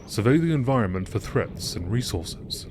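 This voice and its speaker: A man's voice: lowish voice, moderate pace. A man reading game text aloud as scripted narration in a nature documentary style. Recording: steady background noise.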